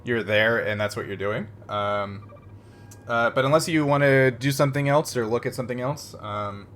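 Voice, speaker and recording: A man's voice: mid-range voice, moderate pace, fairly bright tone, a little hiss or hum. A man casually narrating as game master of a tabletop roleplaying game, feeling his way through his phrases in conversation.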